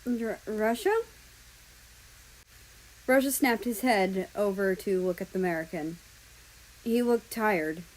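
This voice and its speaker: A woman's voice: medium pitch, normal speed, a little mellow, low-quality recording. Woman reading a story aloud. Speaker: fan fiction reader. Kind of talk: expressive storybook-style narration.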